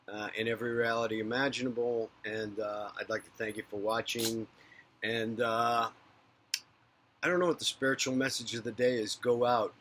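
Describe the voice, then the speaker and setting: A man with a bright, forceful voice talks straight to his viewers in an unscripted spiritual monologue. He rambles and speaks candidly, swearing freely, rising to loud rants and then dropping to soft murmurs. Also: medium pitch. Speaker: psychic medium and spiritual talk host. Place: based in a big city.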